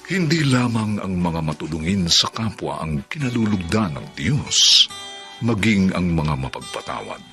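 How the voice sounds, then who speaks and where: Deep, slow male drama narrator. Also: big pitch swings. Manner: slow, grave, moralizing delivery. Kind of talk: solemn scripted narration. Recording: narration over loud music.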